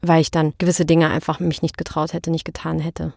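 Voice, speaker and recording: A woman speaking as an interview guest in a candid, colloquial and expressive way, telling personal stories casually. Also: sits low, brisk, gravelly, dry recording, studio quality.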